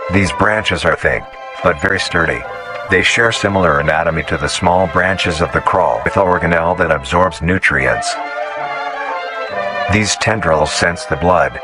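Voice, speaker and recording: A man reading scripted mock-documentary horror narration in a measured, matter-of-fact manner. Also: low-pitched. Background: music.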